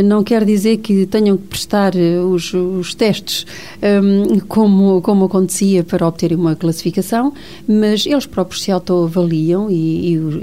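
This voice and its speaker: Female radio host, mellow warm tone, hesitant with fillers. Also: medium pitch. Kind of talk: radio talk show conversation. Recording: radio studio.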